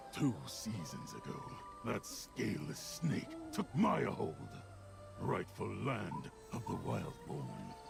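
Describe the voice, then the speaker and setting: An actor playing a fierce, gruff beastfolk warrior in a video game, commanding and scornful in manner. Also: male voice, medium pitch, exaggerated voice. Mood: rousing. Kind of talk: game voice acting.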